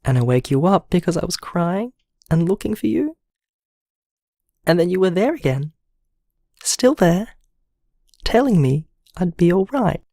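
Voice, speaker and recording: An actor playing a traumatised, gentle man leaving a halting, vulnerable voicemail in an intimate audio drama. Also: high voice, gravelly, no echo, studio quality, heavily acted. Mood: anguished, tearful. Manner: halting, hesitant, emotionally vulnerable.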